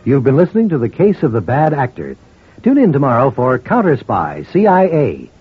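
Animated male old-time radio announcer. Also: medium pitch, moderate pace, slightly rough, soft background music. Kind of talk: 1940s-50s radio announcing. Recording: dull, muffled old radio recording.

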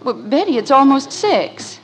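An actress playing a gentle, sensible middle-aged mother in a 1950s family radio comedy. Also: high-pitched, sing-song, unhurried. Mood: kindly, tactful. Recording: slightly noisy old radio broadcast.

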